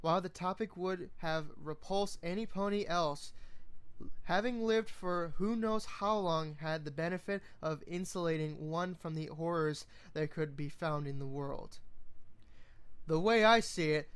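An amateur reader narrating a story in a halting way, often stumbling and repeating words. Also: medium pitch, clean tone. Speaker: fan fiction reader.

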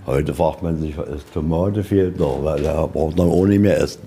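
A man with a very deep, mellow voice gives an informal guided tour. He explains in a conversational, slightly rambling way, as a museum guide talking to visitors. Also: moderate pace, a little hiss or hum.

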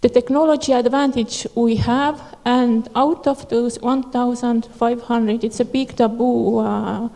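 Earnest woman with gravelly hall-echo voice. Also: on the high side, fairly even intonation, dark tone. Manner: halting, earnest, many filler pauses. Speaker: audience member asking a question. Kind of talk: emotional plea from the floor. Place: conference hall. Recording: hall reverb in a large venue.

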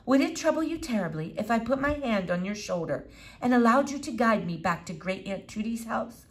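A woman's voice: on the high side, animated, brisk, voice pushed up, natural voice. A woman voicing a pompous, long-winded eleven-year-old boy with oddly formal, precise speech in a children's audiobook. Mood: courtly, solemn.

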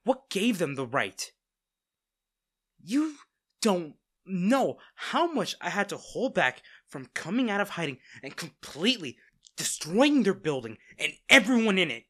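A man acting as a smitten villain in an intimate audio roleplay, speaking directly to the listener with theatrical pauses. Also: sits high, sing-song, a bit bright, no echo, clean studio sound, exaggerated voice. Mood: angry. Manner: teasing, theatrical, pausing, addressing the listener intimately. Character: male villain, teasing, smitten captor of a hero.

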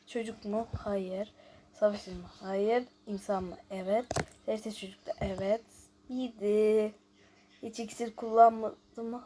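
A woman's voice: on the high side, a little mellow, slight background noise. A woman hosting a casual gameplay video, chatting and thinking aloud as she reads out questions and answers them. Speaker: YouTube host.

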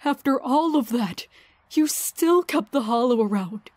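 A woman's voice: fairly high pitch, expressive, measured pace, a little mellow, no echo. A woman playing a concerned, principled scientist in an apocalypse drama, earnest and reproachful in manner.

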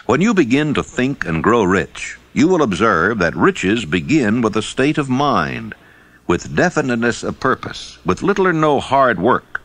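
A man reading scripted audiobook narration in a measured, formal and emphatic way. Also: medium pitch, a bit bright, no room sound.

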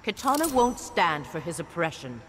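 An actress playing a stern, loyal warrior bodyguard with a firm, guarded, defiant manner in a video game. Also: female voice, on the low side, very animated, moderate pace, slight background noise. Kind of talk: video game fighter intro dialogue. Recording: long cavernous reverb, music behind.

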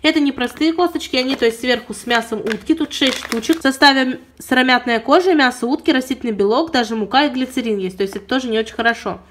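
A woman's voice: sits high, fast, hardly any pauses, fairly bright tone, a little hiss or hum. A young woman with a sore throat, chattily reviewing pet products she bought, filmed at home. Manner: chatty, detailed, apologetic asides. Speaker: pet vlogger. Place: indoors at home.

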